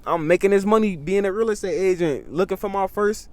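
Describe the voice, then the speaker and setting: Brief: young man, 18, high-pitched, animated, casual podcast guest. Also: a little fast, dry recording. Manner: animated, repeats "you know what I mean" and "bro". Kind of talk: casual chat.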